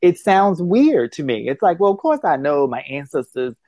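Guy musing out loud on a call, lots of yeah and restarts, dry sound. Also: fairly high pitch, very animated, moderate pace, no echo. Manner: thoughtful, rambling, many restarts and affirmations. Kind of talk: casual conversation over a call. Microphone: phone call.

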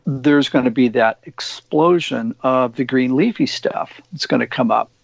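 A man with a gravelly voice talks loosely, rambling through fillers and restarts. His sound is dull and dry. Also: medium pitch, unhurried, no echo. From Oregon. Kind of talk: casual discussion.

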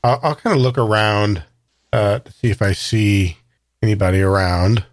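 A man playing a tabletop roleplaying game, describing his character's actions in a hesitant, halting way. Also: on the low side, fairly even intonation, dry recording, studio quality.

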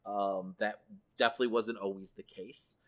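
A man's voice: lowish voice, little pitch movement, moderate pace. A middle-aged man co-hosting a casual podcast, chatting in a joking, loose way. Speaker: podcast co-host. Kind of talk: casual nerd culture podcast chat.

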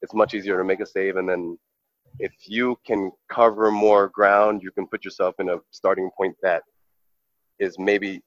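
A man's voice: deep voice, level tone, normal speed, no room sound, highs cut off. A man from New York, a professional goalkeeper, giving earnest, reflective interview answers full of fillers and restarts.

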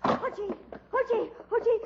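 Woman acting a plucky scatterbrained lady reporter, very high voice, naive chatter, flustered, radio-play comedy, dull lo-fi sound. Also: normal speed, very noisy. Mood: panicked. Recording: dull lo-fi recording.

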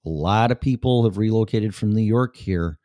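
Man, Chicago accent, low voice, rambling and profane, dry close sound. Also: normal speed, mellow, no echo. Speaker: show host. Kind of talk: casual livestream chat with viewers.

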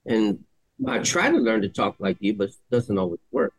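A man's voice: on the low side, wildly swooping pitch, normal speed, gravelly, dry recording, low-quality recording. Brief: middle-aged male podcast co-host, blunt and profane, dry close sound. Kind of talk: blunt casual debate.

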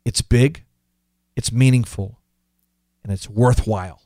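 A man's voice: medium pitch, slow, dark tone, dry recording. Earnest, emphatic fifty-year-old man, dry studio sound. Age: 50s. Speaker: podcast host. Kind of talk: reflective motivational podcast monologue.

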